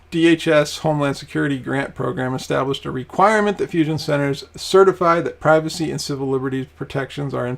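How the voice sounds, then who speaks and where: A man's voice: a little high, gravelly. A young adult man from Maine, a private detective, talking casually with many ums and restarts. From Maine, USA. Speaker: podcast host. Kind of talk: casual talk.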